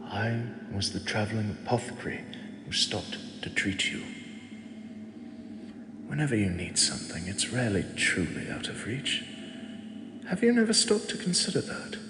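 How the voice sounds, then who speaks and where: A man voicing an ancient male god who speaks softly and slowly, fond and gently teasing, as if to a mortal he protects. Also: on the low side, very animated, over music. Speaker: voice actor. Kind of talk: audio drama monologue. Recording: echoey with long reverb and clear ambience.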